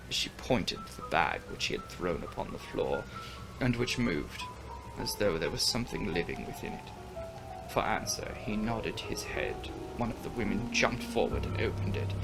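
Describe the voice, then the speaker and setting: A man giving a theatrical, intense and often breathy dramatic reading of gothic fiction as an audiobook narrator. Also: lowish voice, rough recording. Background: music.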